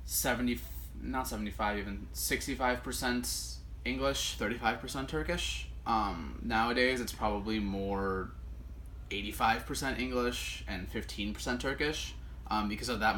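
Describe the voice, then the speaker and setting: A man in his twenties, born in the States, answering interview questions in a relaxed way, often pausing with ums and correcting himself. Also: fairly low pitch. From United States. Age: 20s. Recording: faint background noise.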